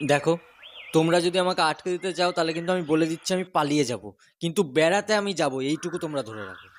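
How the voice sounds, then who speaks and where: A man's voice: fairly high pitch, quick pace, slight background noise, close to an everyday voice. A young man playing an eager, stubborn teenage son in an audio story drama. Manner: pleading, insistent.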